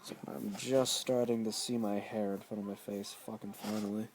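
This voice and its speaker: A man's voice: lowish voice, little pitch movement, normal speed, darkish tone, a little hiss or hum. A man in his twenties, tired, rambling and swearing constantly in a casual vlog. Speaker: vlogger.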